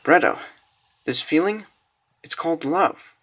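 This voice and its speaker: A man's voice: fairly high pitch, animated, unhurried, a bit bright, a little hiss or hum, voice pushed up. A man voicing an earnest, spirited young girl in a comic dub, with emotional, exclamatory delivery. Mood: tender.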